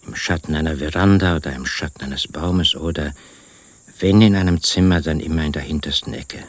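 An actor playing a brooding country man who narrates an eerie radio play, deliberate and hushed, often sinking toward a whisper. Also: a bass voice, no room sound. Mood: brooding, tense. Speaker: first-person narrator.

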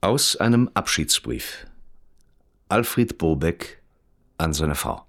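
Deep-voiced, precise crime-story narrator. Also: male voice, animated, takes it slowly, creaky, a bit bright, exaggerated voice. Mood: observant, precise. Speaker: narrator of a radio crime drama. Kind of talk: scripted radio drama narration.

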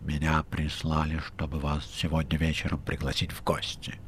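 Picture a cheeky, fanged red-haired rogue from an audiobook, as a male reader puts him on, sly and rude with a deep, low voice. He speaks in an offhand way, and there is faint hiss behind him. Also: animated, moderate pace, creaky. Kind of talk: audiobook character reading.

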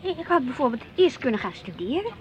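An actress playing a middle-aged housewife in a radio drama, teasing and gently assertive, questioning and mildly protesting. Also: female voice, high voice, very animated, fairly quick. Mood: wistful, assertive. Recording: dull lo-fi radio play, faint noise.

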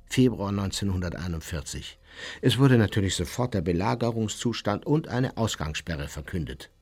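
Unrepentant war criminal, husky, lively intonation, measured formal memoir narration, radio play. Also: male voice, on the low side, full character voice. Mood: self-satisfied, matter-of-fact. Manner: matter-of-fact, measured formal account.

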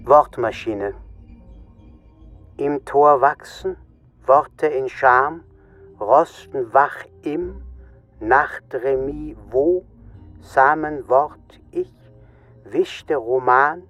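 An actor playing a word machine in a radio play, reciting cryptic verse in an incantatory manner. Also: male voice, medium pitch, drawn-out words, pauses often, a bit bright. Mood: cryptic, deliberate. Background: music.

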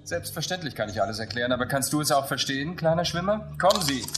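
A woman's voice: rumbling low, lively intonation, fast talker. A woman playing a brusque, commanding coach of elite athletes in a German radio drama, curt and bossy. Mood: dismissive. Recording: roomy, off-mic, music behind.

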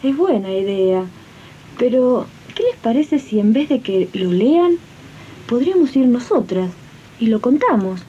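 A woman's voice: sing-song, unhurried, mellow. A woman playing a modest, good-natured, bashful domestic worker with an Argentine accent in an amateur radio drama. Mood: suggesting. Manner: colloquial, bashful. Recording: dull lo-fi sound, faint rumbling noise.

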